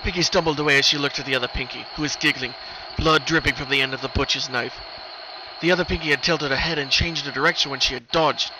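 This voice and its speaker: A man's voice: steady tone, fast talker. A man reading a scripted horror story as a dramatic narrator. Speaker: narrator of horror fan fiction. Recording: noisy recording, rumbling ambient background.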